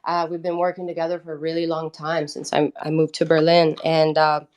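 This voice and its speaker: A woman's voice: low-pitched, level tone, brisk, a little mellow. A woman in her twenties from Italy, a native Italian speaker, casually telling stories as an interview guest in a chatty, candid way. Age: 20s. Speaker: interview guest, independent musician. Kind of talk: casual personal storytelling.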